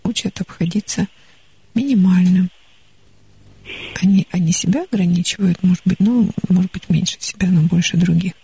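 Husky gravelly woman counselling on radio. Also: fairly low pitch, moderate pace, dry recording. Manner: calm, gently advising, many restarts. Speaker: radio psychologist. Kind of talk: call-in counselling. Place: radio studio.

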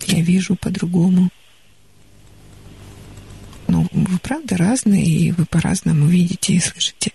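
A woman psychologist counselling a caller on a studio radio call-in show, calm and gently advising. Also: fairly low pitch, unhurried, creaky, long pauses, husky.

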